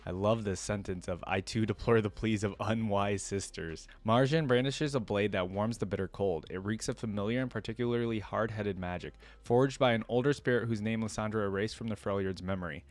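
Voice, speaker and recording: A man's voice: fairly low pitch, a little fast, hardly any pauses. A man hosting a reaction video, reading a story aloud and breaking in with informal, exclaiming commentary. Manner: informal, exclaiming, interjecting his own commentary.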